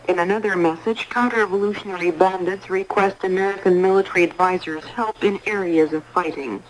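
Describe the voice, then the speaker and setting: A woman reading a formal scripted radio news bulletin in a measured, impersonal way, on a 1980s shortwave broadcast. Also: fairly low pitch, a little hiss or hum, poor audio. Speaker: newsreader.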